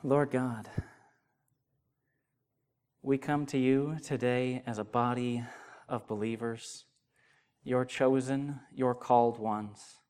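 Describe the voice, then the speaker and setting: A man's voice: mid-range voice, fairly even intonation, a little mellow, smooth. Young Midwestern male pastor, earnest sermon delivery, mid-range voice. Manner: earnest, measured, grows more emphatic. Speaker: associate pastor. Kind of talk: sermon with opening prayer.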